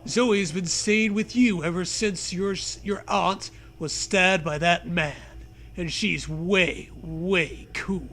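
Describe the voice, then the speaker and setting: A man's voice: sits high, takes it slowly, a bit bright, slight background noise. A man hosting a comedy show, reading parody fiction aloud in a mock-dramatic way, often cracking up. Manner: mock-dramatic, breaks into laughter while reading. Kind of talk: comic read-aloud of parody fiction with banter.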